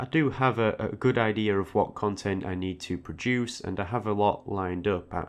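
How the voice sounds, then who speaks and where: A man giving an informal, reflective audio log, often repeating words hesitantly as he goes. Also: deep voice. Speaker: art tutorial creator, channel host.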